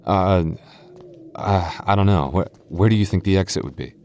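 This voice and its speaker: A man voicing an affectionate, teasing young goth boyfriend in an ASMR roleplay, talking softly and intimately. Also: low voice, normal speed, slight background noise, close to an everyday voice. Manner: soft, intimate, playfully teasing. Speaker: voice actor.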